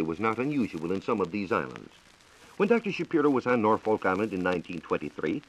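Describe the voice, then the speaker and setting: Low-voiced American man, formal radio lecturer. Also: rough recording. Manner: measured, formal lecturing delivery. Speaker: science reporter. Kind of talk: scripted 1940s radio science talk. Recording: 1940s radio broadcast recording.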